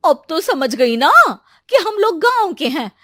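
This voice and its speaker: A woman voicing a loud, indignant rustic village aunt in a cartoon moral story. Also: very high voice, very animated, a little slow, voice pushed up, full character voice. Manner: indignant, exclaiming.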